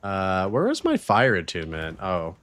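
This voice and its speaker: A man in his thirties streaming a video game live, chatting casually with his viewers and reacting excitedly with slang and swearing. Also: sits low, moderate pace, no room sound. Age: 30s. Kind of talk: casual live-stream chat while gaming.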